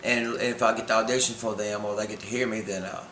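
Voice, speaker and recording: A man's voice: lowish voice, fairly even intonation, fast, a bit bright, roomy sound, slight background noise, poor audio. A man, a pianist and singer, talking in a folksy, joking way on a reality show. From Georgia, US.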